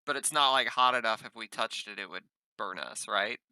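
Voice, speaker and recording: A man with a low, very bright and forceful voice chats as a player in a tabletop game. His delivery is casual, and the recording is dry. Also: brisk, clean tone, no echo.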